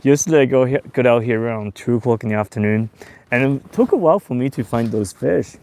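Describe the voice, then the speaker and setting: A man in his forties who grew up in Taiwan and Australia, hosting a casual fishing livestream from a boat on a lake in a relaxed, chatty way. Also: mid-range voice, fast talker. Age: 40s. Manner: relaxed and chatty, with bursts of excitement when a fish bites. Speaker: fishing show host.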